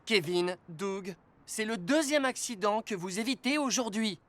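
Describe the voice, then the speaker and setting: A woman's voice: medium pitch, animated, a little slow, natural voice. A woman voicing a kind, sensible talking rescue car in a children's cartoon, instructive and caring. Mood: concerned, earnest. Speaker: safety teacher. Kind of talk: French dubbed children's cartoon acting.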